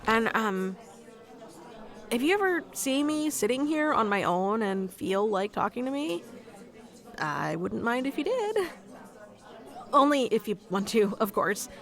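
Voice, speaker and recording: A woman's voice: fairly high pitch, very animated, unhurried, long pauses. A woman playing a shy, nerdy young woman in an ASMR roleplay, talking to the listener in a hesitant, self-conscious, rambling way with small stammers. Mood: flustered, grateful. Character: shy, nerdy young woman, awkward stranger in coffee shop. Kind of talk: ASMR roleplay, one-sided conversation with listener.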